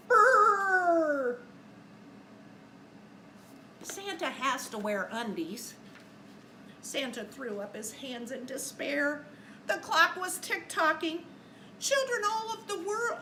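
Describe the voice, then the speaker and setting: A woman teacher reading a storybook aloud expressively to young children, speaking deliberately and adding simple asides and questions. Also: very high voice, a bit bright. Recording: echoey room with faint background noise.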